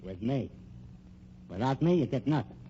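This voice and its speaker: A dull, faintly noisy old radio recording carries an actor playing a slick American private eye on the make. His pitch swings widely as he pushes and wisecracks in tough-guy slang, with menace under the patter. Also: sing-song, normal speed, dark tone, lightly acted. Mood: pushy, scheming. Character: slick, menacing male private detective and con man. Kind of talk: old-time radio crime drama acting.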